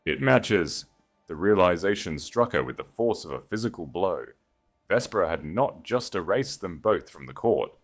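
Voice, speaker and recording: Male narrator for romantic fiction: very deep, expressive range, solemn scripted storytelling. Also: moderate pace, faint music behind. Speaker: audiobook narrator.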